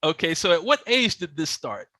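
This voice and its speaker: Upbeat podcast host with a lively voice. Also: male voice, sits high, moderate pace, no echo, studio quality. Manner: upbeat, encouraging, asks short questions. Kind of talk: interview hosting.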